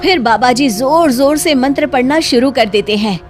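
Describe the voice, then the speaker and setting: A woman's voice: high-pitched, moderate pace, faint music behind. A woman narrating a scripted moral story for children.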